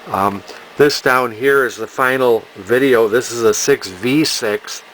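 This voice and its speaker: A man's voice: mid-range voice, measured pace, a bit bright, slight background noise, poor audio. A man giving casual, hands-on repair commentary as a technician, plain-spoken and technical, thinking aloud as he works. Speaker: electronics repair technician and video host.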